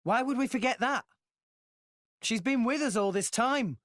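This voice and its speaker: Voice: mid-range voice, animated, measured pace, fairly bright tone, no echo, clean studio sound. An actor playing a curious young companion in a game, speaking in a plain, conversational way. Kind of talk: voiced game cutscene acting.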